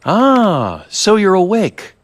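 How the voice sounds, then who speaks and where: A man playing a curious, evasive doctor in a video game, musing and teasing with theatrical pauses. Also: high-pitched, wildly swooping pitch, a little hiss or hum, full character voice. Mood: playful curiosity. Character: wry, curious, evasive male scientist doctor. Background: faint music.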